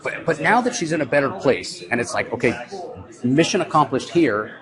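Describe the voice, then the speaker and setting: A man's voice: medium pitch, expressive, normal speed, rough recording. A man hosting a casual interview, chatty and affirming, recorded live at a convention. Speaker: podcast host and interviewer. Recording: busy convention crowd behind. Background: crowd chatter.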